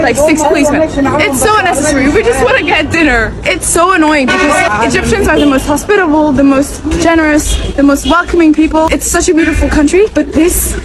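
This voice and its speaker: A woman traveler recording frustrated, indignant commentary outdoors on a city street. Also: high-pitched. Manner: annoyed, indignant. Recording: loud street music and crowd noise. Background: loud music and crowd.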